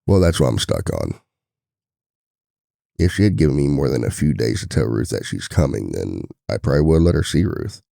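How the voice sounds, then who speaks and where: A man playing a devoted, worried single-dad boyfriend in an intimate ASMR roleplay, confiding softly with a gravelly voice. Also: big pitch swings, normal speed, dry recording, clean studio sound, heavily acted. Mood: frustrated, torn. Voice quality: very deep, gravelly.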